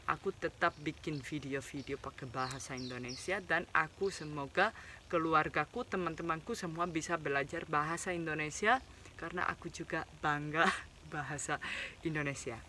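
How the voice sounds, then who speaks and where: A woman's voice: low voice, normal speed, background noise. A young woman vlogger in casual talk to camera, chatty and laughing often, slipping in English words.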